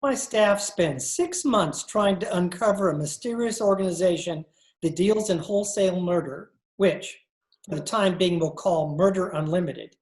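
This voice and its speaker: A woman's voice: fairly low pitch, normal speed, darkish tone, close to an everyday voice. A woman playing a flustered male newspaper editor in 1940s radio comedy style, hesitant, placating and dryly teasing. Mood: exasperated. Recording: echoey room on video call.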